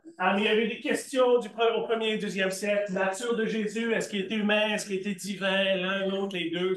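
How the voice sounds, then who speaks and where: A man's voice: high voice, a bit bright. A man teaching a church Bible class, asking the class questions and often answering them himself, with a few English asides. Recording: echoey room.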